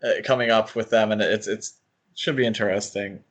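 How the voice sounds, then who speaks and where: A man's voice: on the low side, fairly even intonation, brisk. A man hosting a podcast in a casual, conversational chat style. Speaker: podcast host.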